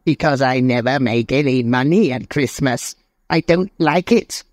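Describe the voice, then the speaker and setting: A man acts out a cold, mean old businessman from a storybook. The voice is hard and raised in pitch, biting off curt, scornful dismissals. The sound is close and dry. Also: measured pace, no echo, lightly acted. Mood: irritated. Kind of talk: audiobook character reading.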